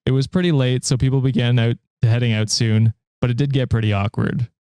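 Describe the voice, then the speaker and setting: A young man hosting a podcast, reading a story aloud and chatting about it in a relaxed, dry way. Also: mid-range voice, fairly quick, clean tone, no echo. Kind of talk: story reading with casual chat.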